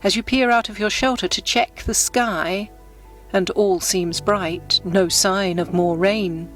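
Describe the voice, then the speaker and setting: A woman narrates a scripted guided meditation in a gentle, unhurried, descriptive storytelling manner. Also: mid-range voice. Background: background music.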